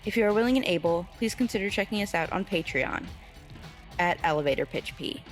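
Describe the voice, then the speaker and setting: A woman's voice: on the low side, expressive, brisk. A woman announcer giving a scripted read of podcast credits. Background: guitar music.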